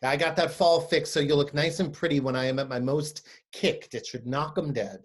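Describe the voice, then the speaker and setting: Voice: mid-range voice, steady tone, moderate pace, husky, lightly acted. An actor playing a hurt circus clown who feigns good cheer with brittle sarcasm in a staged play reading. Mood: wounded sarcasm.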